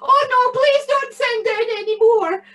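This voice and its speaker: A woman voicing a crafty old woman who wails in shrill mock horror. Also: a little slow, fairly bright tone, distant mic.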